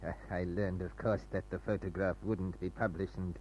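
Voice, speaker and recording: An actor playing a sinister, doddering foreign spy in an old radio thriller, outwardly polite, fussy and given to stammering and repeating himself. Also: male voice, deep voice, flat delivery, a little mellow, natural voice. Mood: amused, sly. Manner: stammering, repetitive, fussy, drawn-out phrasing. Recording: muffled, noisy old radio recording with hum.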